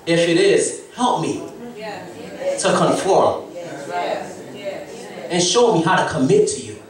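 Haitian-accented preacher in an echoey church. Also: male voice, fairly high pitch, expressive, takes it slowly, a crowd in the background. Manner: exhorting, preaching to the congregation with rising emphasis. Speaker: guest preacher. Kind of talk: sermon. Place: church sanctuary, mid-size, indoors. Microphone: handheld mic, far camera. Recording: echoey church heard from far off. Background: congregation responding.

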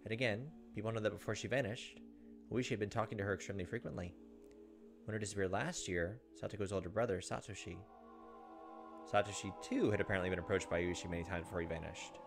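A man's voice: on the low side, steady tone, fast, creaky. A man in his thirties narrating a visual novel aloud as a let's play host. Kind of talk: narrated let's play, dramatic reading with casual asides. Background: soft game music.

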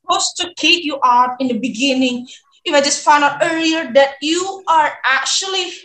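Young woman playing bossy, high-pitched cruel stepmother. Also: moderate pace, pushed and bright. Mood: scornful, bossy. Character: cruel, scolding stepmother who dotes on her daughter. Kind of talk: readers theater acting on video call. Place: indoors on a video call. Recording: roomy echo, background music.

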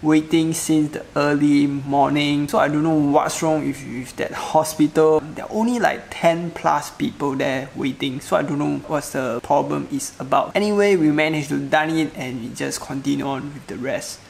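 A man's voice: fairly high pitch, normal speed, gravelly, hardly any pauses, a little hiss or hum. A young man chatting casually and informally as a travel vlogger, recorded in a room at home. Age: young adult. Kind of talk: casual travel vlog talk. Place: small living room at home, seated indoors. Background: music.

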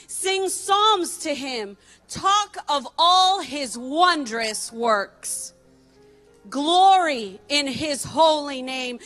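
A woman's voice: high voice, a bit bright. A woman in her thirties reading a psalm aloud in church into a handheld mic, with declamatory, emphatic proclamation. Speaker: church reader. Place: church stage, indoors. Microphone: handheld microphone. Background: music.

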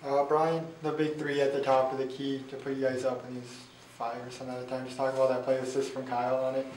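A man interviewing players after a basketball game, asking measured questions with a few "uh"s. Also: mid-range voice, completely flat delivery, a little mellow. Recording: echoey room, distant mic, faint hiss.

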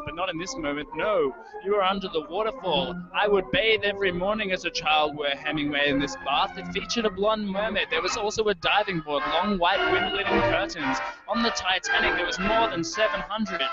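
Music plays clearly behind a young man reading literary fiction aloud. His voice sits a little high, and his delivery is measured and deliberate. The sound is somewhat dull, like a lo-fi online stream. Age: young adult. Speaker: writer reading his own audio fiction. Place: indoor room with wooden ceiling. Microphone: handheld-style microphone close to mouth, video call. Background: prominent musical soundscape.

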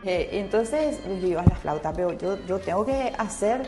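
A woman's voice: medium pitch, animated, normal speed, music in the background. Chatty middle-aged woman, Italian native. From Paraguay. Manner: chatty, many fillers and restarts. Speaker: interview guest, painter. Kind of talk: casual interview chat. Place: TV studio set with plants.

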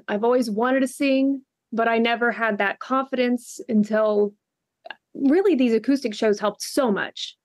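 A soft-spoken young woman chats in an upbeat, easy way as a podcast guest. Her voice sits a little high. The sound is dry and close, picked up by a home video-call mic. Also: dry recording. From Texas. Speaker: guest musician. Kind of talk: casual interview chat.

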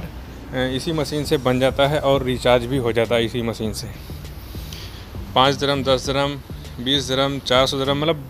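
Young Indian man vlogging on noisy streets. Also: mid-range voice. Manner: explains step by step, addresses viewers directly. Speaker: travel vlogger. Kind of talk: walking tour narration. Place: outdoors in busy city streets and on a bus. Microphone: handheld phone camera. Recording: street and traffic noise behind.

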